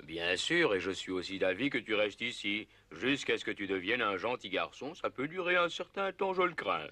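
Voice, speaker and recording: A man's voice: on the low side, very animated, moderate pace, pushed and bright, dry recording, exaggerated voice. A man voicing a sensible, grumbling talking dog in a French cartoon dub, admonishing and matter-of-fact. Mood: stern, wry.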